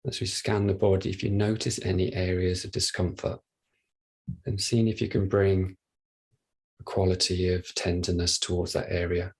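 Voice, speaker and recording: Deep creaky guy doing a body scan, really even tone, gentle w long pauses. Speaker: meditation teacher leading a body scan. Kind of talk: guided meditation, soft instruction.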